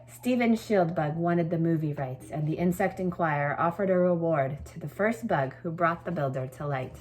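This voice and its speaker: A woman with a low-pitched voice speaks as a teacher to her pupils. Her delivery suits reading a picture book aloud and explaining schoolwork to children. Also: normal speed, low-quality recording. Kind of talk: expressive storybook read-aloud, then informal assignment explanation.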